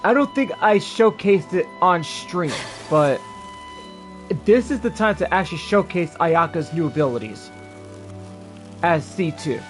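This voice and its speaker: A man's voice: high voice, expressive, measured pace. A tired man giving casual livestream gameplay commentary, slangy, with mild swearing and muttered asides. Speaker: game streamer. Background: game music.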